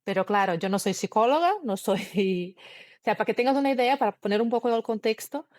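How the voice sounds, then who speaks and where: A woman's voice: fairly high pitch, a little mellow, no echo. A woman from Brazil, speaking her as an interview guest and telling a personal story earnestly, with frequent restarts. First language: Portuguese. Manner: earnest, halting, many restarts and fillers.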